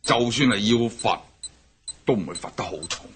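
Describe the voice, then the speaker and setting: An actor with a Hong Kong Cantonese accent playing a stern older gang boss in a radio drama, gruff and interrogating. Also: male voice, lowish voice, moderate pace, a little hiss or hum, natural voice. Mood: probing. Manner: gruff, interrogating, flares into shouting.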